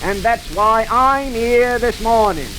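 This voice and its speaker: A man's voice: very high-pitched, slow. A cheerful sightseeing guide in a vintage radio comedy. He delivers formal, deferential patter with patient, wry courtesy. The recording is full of hiss and crackle. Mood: brisk, courteous patter. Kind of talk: old-time radio comedy acting.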